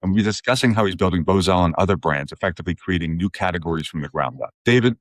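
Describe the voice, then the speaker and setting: Fast, low-voiced man hosting. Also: no echo. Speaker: podcast host. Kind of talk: podcast intro and interview questions.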